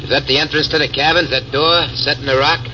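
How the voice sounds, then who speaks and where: A man's voice: fairly high pitch, steady tone, quick pace, lightly acted. An actor playing a ruthless, greedy male gang boss in an old radio drama, speaking in a menacing, threatening way. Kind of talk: 1940s radio acting. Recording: distant, lo-fi, noisy old radio recording.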